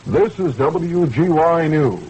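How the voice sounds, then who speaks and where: An American man reading a scripted 1960s radio station promo in a formal, deliberate announcer style. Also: on the high side, lively intonation, dull audio. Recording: slightly noisy vintage radio recording.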